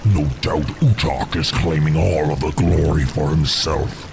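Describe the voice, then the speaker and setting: A man's voice: very deep voice, a little slow, over music, heavily acted. A man voicing a bitter, proud orc warrior, grumbling and disdainful, in a fantasy game cutscene. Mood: bitter, scornful. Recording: clear ambient noise behind the voice.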